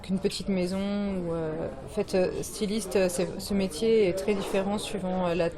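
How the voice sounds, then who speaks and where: A woman's voice: mid-range voice, steady tone, normal speed. Hesitant French woman designer, crowd behind. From France, Brittany. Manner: hesitant, many fillers and restarts. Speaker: guest fashion designer. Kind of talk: casual interview talk. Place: bar evening event with crowd.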